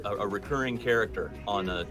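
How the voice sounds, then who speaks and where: A man's voice: lowish voice, brisk, music in the background. An American man from Southern California chatting casually as an interviewed voice actor. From Southern California, United States.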